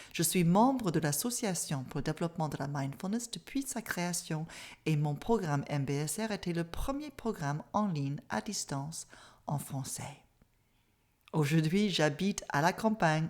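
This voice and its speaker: Soft-spoken Canadian woman, English-native accent. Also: low-pitched. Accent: English-speaking Canadian. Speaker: mindfulness teacher. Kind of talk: online masterclass presentation.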